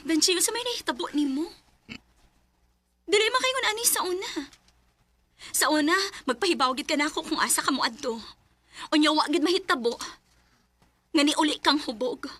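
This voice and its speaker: A grieving young wife in a Filipino radio drama. Her voice is high and sharp, with a Cebuano accent. She speaks emotionally and pleadingly, full of hurt. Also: lively intonation, moderate pace, pauses often, no room sound. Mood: reproachful. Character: anguished young wife grieving lost pregnancies.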